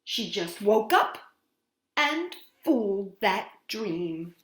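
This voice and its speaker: A woman reads a picture book to young children, her voice rising and falling widely as she leans into the drama of each line. She takes her time, lingering on words and pausing for effect. The room around her rings with a noticeable echo. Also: mid-range voice. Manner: expressive, sing-song storytelling with dramatic pauses. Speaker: children's storytime host. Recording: roomy echo.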